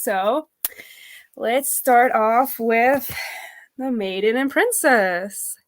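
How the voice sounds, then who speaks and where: A woman's voice: on the high side, extremely slow. A woman reading a picture book as a guest, friendly and chatty, with casual asides to the audience. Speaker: drag performer, guest storybook reader.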